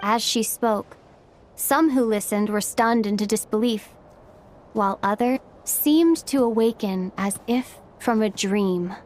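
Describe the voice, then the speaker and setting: A woman playing a storyteller in a video game, narrating in a measured, literary manner. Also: medium pitch, a little mellow, close to an everyday voice. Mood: solemn, storytelling.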